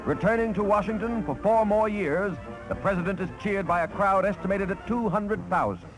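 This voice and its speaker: A man's voice: high-pitched, moderate pace, rough recording. An American man reading formal, declamatory 1940s newsreel narration on a vintage soundtrack. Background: loud music.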